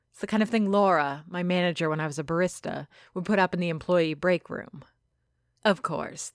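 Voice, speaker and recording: A woman narrating a first-person story from a script, reflective and measured, with dramatic phrasing. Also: on the low side, dry recording, clean studio sound. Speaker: narrator of a fiction podcast.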